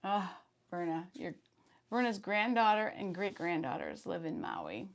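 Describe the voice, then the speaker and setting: A woman in her fifties hosting a sewing live stream, chatting casually and reading viewer comments, her voice hoarse today. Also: lowish voice, huge pitch swings, unhurried. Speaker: sewing channel host.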